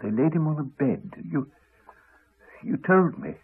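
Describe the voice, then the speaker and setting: An actor playing an earnest, wry, reflective doctor in a 1980s radio drama. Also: male voice, on the high side, animated, measured pace, husky. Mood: gentle, probing. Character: earnest male doctor, reassuring, wry, later weary and dying. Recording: muffled, narrow-band 1980s radio broadcast.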